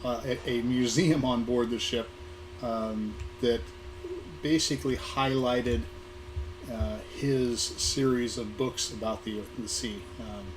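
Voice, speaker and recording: Hoarse, halting American man over background noise. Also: medium pitch. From Southern California. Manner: halting, with repeated words and long hesitations. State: hoarse, getting over an illness. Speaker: guest speaker, museum programming manager. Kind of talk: informal career talk, reminiscing. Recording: noisy live recording with room ambience.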